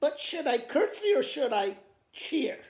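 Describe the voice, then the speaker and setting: A man's voice: very high-pitched, big pitch swings, a little slow, roomy sound, pitched above the performer's own voice, exaggerated voice. A man voicing a flustered, fretful farmer from a children's storybook poem, exclaiming and repeating himself.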